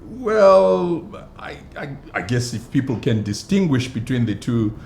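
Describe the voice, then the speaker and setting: A man, a pastor and actor, answering interview questions in a measured, hesitant way with many fillers. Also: mid-range voice, sing-song, creaky, darkish tone. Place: studio. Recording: echoey studio with faint noise.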